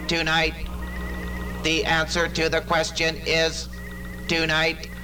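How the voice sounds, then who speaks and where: A man's voice: a little high, music in the background. A man voicing an emotionless computer in an amateur radio drama, repeating its answers mechanically. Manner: monotone, slow, chant-like repetition. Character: answering machine computer, flat, mechanical, repetitive.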